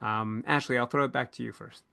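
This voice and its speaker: A man's voice: mid-range voice, steady tone, a little fast, vocal fry, pushed and bright, no echo. A man hosting a casual panel discussion, feeling his way through his phrasing as he talks. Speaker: podcast host moderating a panel.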